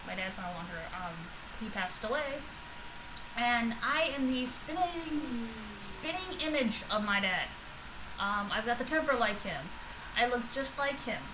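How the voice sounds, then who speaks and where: A woman with a loud, fairly high voice rambles through a casual reaction vlog. She talks off the cuff, full of fillers and restarts. Steady background noise sits clearly under the recording. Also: unhurried. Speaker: vlogger reacting to podcast episodes.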